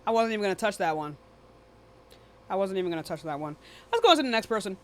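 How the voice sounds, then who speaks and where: A woman's voice: mid-range voice, animated, quick pace. A woman hosting a live debate stream in a casual, blunt, dismissive and often profane way. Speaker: livestream debate host.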